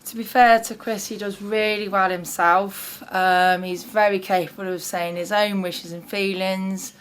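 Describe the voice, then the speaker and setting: A woman with an English accent chats warmly about the young man she works with, dotting her talk with fillers. Her voice sits at an ordinary middle pitch. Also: steady tone, unhurried, a little hiss or hum. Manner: warm, chatty, with fillers. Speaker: advocate. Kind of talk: interview testimony.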